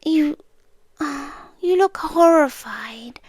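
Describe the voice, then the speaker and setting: A British woman playing a polite, obsessive yandere intruder in an ASMR roleplay, soft-spoken and often slipping into whispers, coolly teasing. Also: high-pitched, animated, slow pace, no room sound. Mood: matter-of-fact, sly. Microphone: close microphone, dry.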